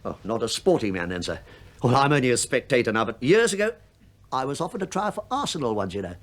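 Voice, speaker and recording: An actor playing a chatty, falsely bumbling middle-aged English police inspector who needles politely in a British radio drama. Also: male voice, medium pitch, big pitch swings, quick pace, slight background noise. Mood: genial rambling. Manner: rambling, deferential, needling small talk.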